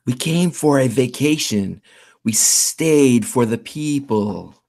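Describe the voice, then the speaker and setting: A man playing an ominous, gruff figure with a deliberate, threatening delivery in an audio drama. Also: medium pitch, expressive, dark tone. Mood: solemn. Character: ominous alien visitor and gruff bounty hunter.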